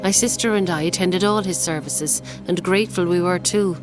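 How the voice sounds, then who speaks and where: A woman's voice: on the low side, moderate pace, lightly acted, poor audio. An actress playing a pious colonial townswoman in a game, earnest and proper in her speech. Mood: pious concern. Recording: music behind.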